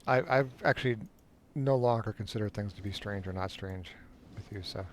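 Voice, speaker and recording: A man's voice: on the low side, moderate pace, slight background noise. A man chatting casually while playing a game at home, with a dry, teasing manner. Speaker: streamer playing an online game. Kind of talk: casual gameplay chat.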